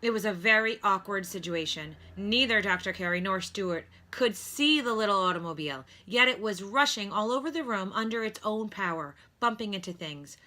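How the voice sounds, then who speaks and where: Bright-voiced woman reading a storybook. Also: medium pitch, lively intonation, moderate pace, hardly any pauses. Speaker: teacher reading aloud to pupils. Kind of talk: expressive storybook narration.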